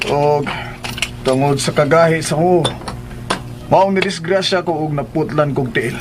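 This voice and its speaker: A man's voice: a little high, a little slow, natural voice. An actor playing a rueful, plaintive young man in a Philippine radio drama, with a Filipino accent. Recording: prominent music behind the voice.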